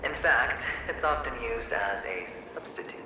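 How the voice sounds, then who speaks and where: A man's voice: mid-range voice, animated, normal speed. An actor playing the mocking inner double of a young man, taunting and slipping into hissed whispers, his voice echoing and distant. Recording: heavy echo, distant, game ambience noise.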